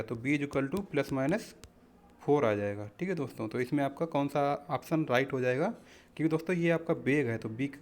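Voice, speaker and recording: A man with a somewhat high-pitched voice works as an exam-prep teacher. He explains practice questions in an instructional manner. He often speaks to his listeners directly as friends. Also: a little mellow.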